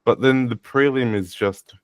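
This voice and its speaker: A man's voice: medium pitch, unhurried, no echo. A man co-hosting a sports podcast in a blunt, crude, sarcastic ranting style. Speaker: podcast co-host. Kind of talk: casual ranting chat.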